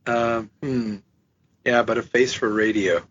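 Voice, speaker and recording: Man, podcast host, casual chat, slightly husky, self-mocking, dull lo-fi audio. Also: mid-range voice, steady tone, fairly bright tone. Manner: chatty, self-mocking, quick asides.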